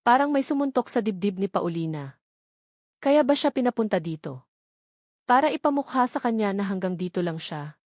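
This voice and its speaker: A woman's voice: mid-range voice, expressive, normal speed, pauses often, darkish tone, no echo, clean studio sound. A woman with a Filipino accent narrating a romance story in a dramatic, scripted audiobook style.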